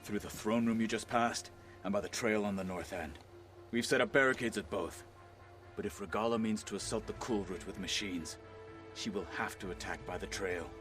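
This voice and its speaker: A man playing a grim low-voiced warrior marshal. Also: normal speed, fairly bright tone, lightly acted. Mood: grim tactical. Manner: grim, matter-of-fact. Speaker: game character. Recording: game audio, distant voice, music behind.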